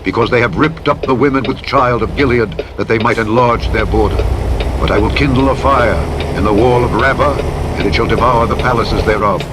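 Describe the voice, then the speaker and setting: A man narrating the Bible in a solemn, dramatic, measured and declamatory style. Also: medium pitch. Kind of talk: solemn dramatic scripture reading. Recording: engine and vehicle noise over playback. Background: truck engine and road noise from a driving game.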